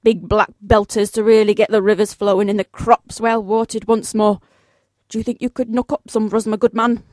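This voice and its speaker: A woman's voice: medium pitch, little pitch movement, brisk, a little mellow, dry recording, natural voice. A British woman playing a genteel, unflappable office boss in a comic radio play, polite, breezy and formally businesslike. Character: genteel, unflappable female office boss making absurd requests.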